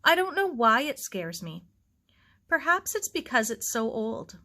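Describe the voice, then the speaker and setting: A woman's voice: a little high, big pitch swings, a little slow, bright, forceful tone, full character voice. A woman voicing a polite, curious and slightly hesitant young girl in a story.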